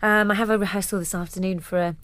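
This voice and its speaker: A woman's voice: medium pitch, steady tone, fast talker, no room sound. A woman who is a musical theatre actress co-hosting a podcast, chatting enthusiastically. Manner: warm, enthusiastic, gushing. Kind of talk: casual theatre chat.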